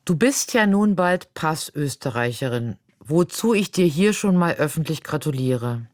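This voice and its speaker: A woman's voice: low voice, expressive, measured pace, darkish tone, dry recording, clean studio sound. A woman moderating, putting prepared questions to guests.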